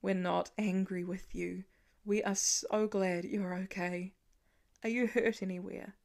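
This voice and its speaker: A woman's voice: medium pitch, fairly even intonation, a little slow, vocal fry, a little mellow. A devoted, protective dad of young children, voiced by a woman narrating an audiobook. He talks in an earnest, parental way, full of care for his child. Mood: tender concern. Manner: soothing, earnest parental talk. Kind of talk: audiobook character acting.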